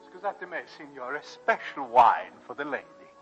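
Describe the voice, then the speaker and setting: A courtly Italian waiter, gravelly and swooping in pitch, with a thick old radio sound. His Italian accent is attentive and formal, with music behind him. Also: very animated, normal speed, a bit bright, heavily acted. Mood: courteous, attentive. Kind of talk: 1940s radio acting. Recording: muffled vintage 1940s radio broadcast, music behind.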